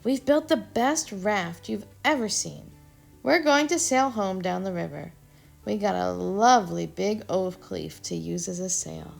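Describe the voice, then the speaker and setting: An eager, bossy little acorn boy, as a woman reads him, talking in a lively, raised storybook voice. It stays close to her own voice, with a slightly gravelly edge. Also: mid-range voice, a little slow, soft background music, exaggerated voice. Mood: excited. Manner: excited, sometimes cross.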